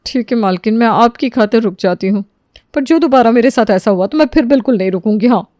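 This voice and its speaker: A woman's voice: a little high, fast, mellow, no echo, clean studio sound, natural voice. A woman playing a put-upon, dutiful housemaid who pleads and stands up for herself in a dramatised moral story. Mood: relenting.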